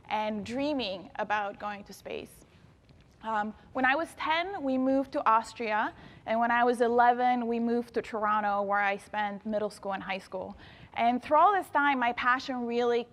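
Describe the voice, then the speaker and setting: A woman's voice: fairly high pitch, in a large hall. A woman in her thirties from Bulgaria, an engineer and planetary scientist giving an enthusiastic talk to young students. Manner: enthusiastic, addresses audience directly. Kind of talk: inspirational talk to youth. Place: event hall.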